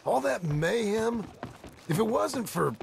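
An actor playing a gruff, weary outlaw in a Western video game, with a gravelly American drawl and a terse, dry delivery. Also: male voice, high voice, big pitch swings, takes it slowly, background noise, exaggerated voice. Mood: brooding.

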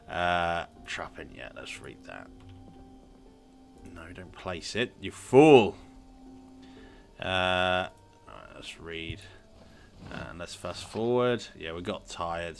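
A man's voice: low voice, fairly bright tone. A man with a sniffly cold, casually commenting on his gameplay and thinking aloud with lots of fillers. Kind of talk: casual let's-play commentary.

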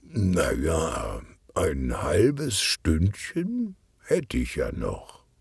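A man voicing a calm, good-natured, portly police detective who talks in an unhurried, murmuring way for a children's audiobook. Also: on the low side, vocal fry, slightly rough, no echo, studio quality, voice pushed up, full character voice. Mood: hesitant, indulgent.

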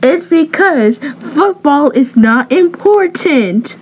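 A woman's voice: sits high, lively intonation, takes it slowly, darkish tone, slight background noise. A woman acting out lines in a homemade cartoon roleplay.

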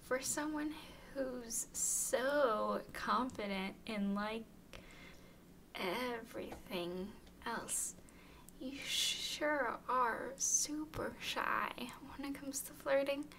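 A woman playing a tall, clingy, playfully teasing young woman smitten with her friend, in an intimate, softly whispered ASMR roleplay. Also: fairly high pitch, drawn-out words, full character voice. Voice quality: soft, breathy whispering. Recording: faint background noise.

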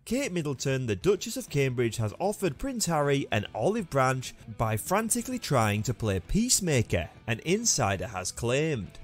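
A man's voice: mid-range voice, very animated, moderate pace, hardly any pauses. A man reading scripted news narration in a formal, read-aloud manner. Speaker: narrator of a royal news channel. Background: background music.

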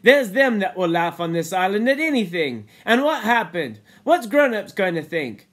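Guy doing a whiny-high righteous little boy from a novel, insistent schoolboy talk, very animated. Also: normal speed, heavily acted. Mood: indignant, pleading. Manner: colloquial schoolboy grammar, insistent and emphatic.

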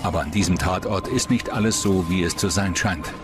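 Male narrator for scripted true crime, very low voice, music underneath. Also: fairly quick. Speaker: documentary narrator.

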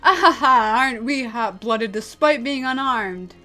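Woman acting a gloating, theatrical male villain, unhurried. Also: fairly high pitch, lively intonation, fairly bright tone, faint music behind. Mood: mocking glee. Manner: mocking, melodramatic, grandiose. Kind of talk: anime-style dramatic character acting.

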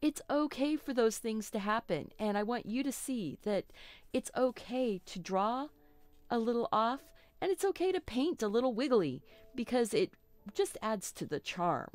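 A middle-aged woman with a slightly froggy voice, hosting a relaxed live painting lesson and chatting reassuringly with little asides and self-corrections. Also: a little high, normal speed, no echo. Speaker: art teacher hosting a live stream.

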